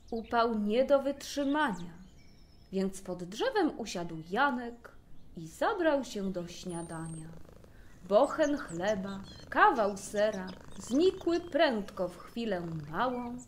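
A woman narrating a fairy tale in verse, with an expressive, measured storytelling delivery. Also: a little high, a little hiss or hum.

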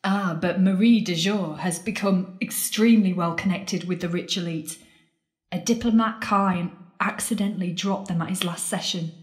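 A woman's voice: on the low side, moderate pace, lightly acted. Actress as defiant worldly woman, audio drama, intense, sardonic, challenging, roomy echo. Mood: urgent, persuasive. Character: Marrie, defiant worldly woman pleading with her lost love. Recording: echoey, roomy sound.

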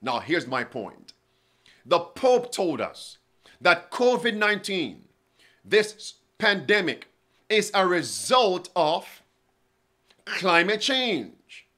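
A man's voice: sits high, very animated, slow pace, long pauses, pushed and bright. A man in his forties preaching to camera from a studio desk, reading quotations aloud and asking his listeners pointed questions. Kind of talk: prophecy sermon to camera. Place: indoor studio with bookshelves.

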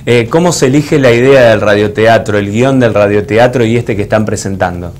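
A man's voice: on the low side, a little fast, darkish tone. A man in his thirties with an Argentine accent, interviewing a guest as a television presenter in a studio. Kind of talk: broadcast interviewing.

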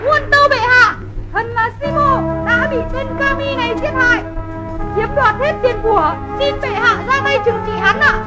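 The skeleton ghost of a murdered man in an old cartoon folktale. The voice is extremely high and uncanny, declaiming in a drawn-out, theatrical way. Loud music runs behind it on the old soundtrack. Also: rough recording. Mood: pleading for justice. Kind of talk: old animated folktale film dub.

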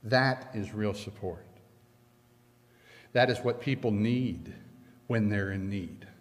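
An older man preaching a sermon from a church pulpit, earnest and measured, with slow emphatic pauses. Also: fairly low pitch. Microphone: pulpit stand mic. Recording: long reverb of a church hall.

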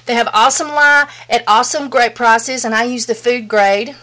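A woman's voice: a little high, steady tone, normal speed, bright, forceful tone. A middle-aged woman with a Southern US accent, chatty and folksy, demonstrating soapmaking at home and calling her viewers "y'all.". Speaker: soapmaking instructor. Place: home soap room, indoors.

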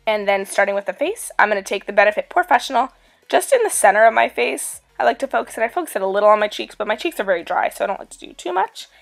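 Raspy-voiced woman getting over a cold. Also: mid-range voice, lively intonation, quick pace, soft background music. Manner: chatty and upbeat. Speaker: beauty vlogger. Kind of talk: makeup tutorial voice-over.

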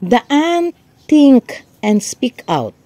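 A woman teacher reading a script aloud for reading practice, deliberate and phrase by phrase. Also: high voice, big pitch swings, mellow, dry recording. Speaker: teacher and narrator.

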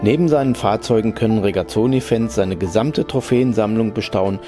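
A man reading a scripted report voice-over in an even, measured way. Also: fairly low pitch. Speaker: narrator. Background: background music.

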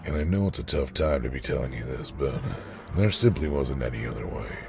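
A man's voice: extremely low pitch, brisk, gravelly, over music, pitched below the performer's own voice, full character voice. A man voicing a weary, cold policeman husband who talks tersely in a storybook reading. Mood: cold, grave. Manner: terse, flat, withdrawn.

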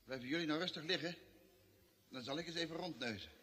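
A man's voice: a little high, fast talker, bright, forceful tone, breathy edge. An actor playing a puzzled but practical space crewman in a vintage Dutch radio drama. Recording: old radio recording, long reverb.

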